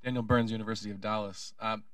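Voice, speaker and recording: A man's voice: on the low side, little pitch movement, fairly bright tone, dry recording, far from the mic. A man from a university asking a long, articulate question from the audience. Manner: brisk, articulate.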